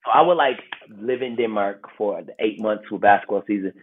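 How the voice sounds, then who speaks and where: A man's voice: fairly low pitch, a little fast, a little hiss or hum. American man on a phone-like line. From Milwaukee, United States. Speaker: podcast guest, travel series host. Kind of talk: casual conversational storytelling. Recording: thin phone-like call audio.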